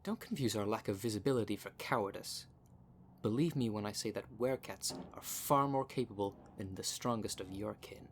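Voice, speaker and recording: A man's voice: lowish voice, fairly quick, a little hiss or hum, close to an everyday voice. A man playing a cocky, cunning werecat with a smug, taunting, theatrical manner in an audio roleplay. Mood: taunting, menacing. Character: cocky, cunning male werecat shapeshifter, boastful and menacing. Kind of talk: ASMR roleplay monologue.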